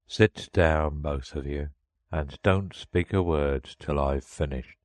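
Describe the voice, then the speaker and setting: A man voicing a melancholy, easily offended turtle creature from a storybook, in a deep, hollow tone full of sighs. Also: dry recording. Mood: mournful. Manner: slow, mournful, sighing. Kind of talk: storybook character reading.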